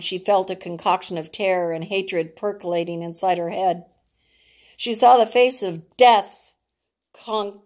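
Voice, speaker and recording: A woman reading a novel aloud as its author, in a deliberate, dramatic narrating style, sometimes stumbling over words. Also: medium pitch, darkish tone.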